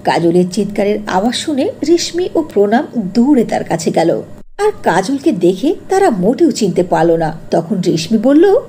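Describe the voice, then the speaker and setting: A woman's voice: mid-range voice, sing-song, normal speed, mellow, a little hiss or hum. A woman narrating a scripted fairy tale for a cartoon.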